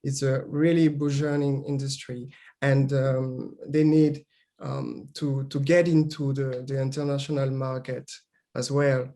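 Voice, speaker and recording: Male panel guest, native French speaker from Gabon, hesitant English, monotone delivery. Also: medium pitch, unhurried. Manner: hesitant, many fillers and restarts. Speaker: panel guest, founder of an art platform. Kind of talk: panel discussion.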